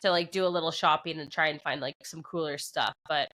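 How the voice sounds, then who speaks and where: Chatty English Canadian woman, bright tone. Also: deep voice, quick pace. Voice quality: drops P sounds at the start of words. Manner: chatty, animated storytelling. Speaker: podcast co-host. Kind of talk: casual chat.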